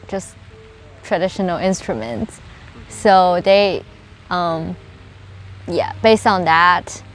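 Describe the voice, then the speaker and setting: A young woman from China with Chinese as her first language, a college student answering interview questions in a halting, filler-heavy way. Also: medium pitch, low-quality recording. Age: young adult. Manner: hesitant, many fillers and restarts.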